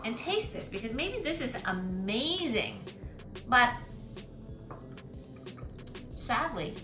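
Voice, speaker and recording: A woman's voice: fairly high pitch, big pitch swings, slow. Chatty woman cooking in an echoey kitchen. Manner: chatty, thinks aloud while cooking. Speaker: cooking vlogger. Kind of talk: casual cooking demonstration. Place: home kitchen. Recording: echoey kitchen with background music. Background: music.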